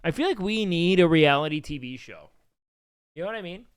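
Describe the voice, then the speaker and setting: A man with a nasal voice chatting casually on his live stream, loud and excitable, swearing often. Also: fairly high pitch, a little fast, clear, resonant tone, no room sound, clean studio sound. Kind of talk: casual live-stream chat reacting to clips. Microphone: studio mic with noise gate.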